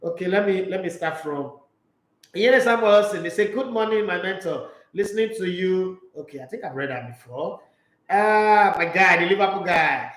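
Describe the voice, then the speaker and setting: Animated high-voiced man. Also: normal speed, echoey room. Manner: animated, opinionated, jokey asides. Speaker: sports talk show host. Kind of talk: casual sports talk, reading news and viewer comments.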